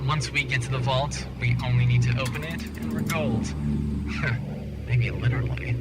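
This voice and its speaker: A man playing a cunning, condescending schemer in a sci-fi audio drama, speaking in a calculating, deliberate manner. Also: medium pitch, steady tone, over loud music, lightly acted. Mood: calculating, smug. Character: cunning, condescending male schemer plotting betrayal.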